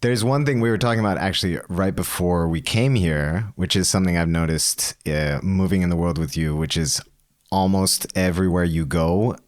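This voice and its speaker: A man's voice: sits low, moderate pace. A man hosting a podcast, asking curious questions in casual chat. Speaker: podcast host.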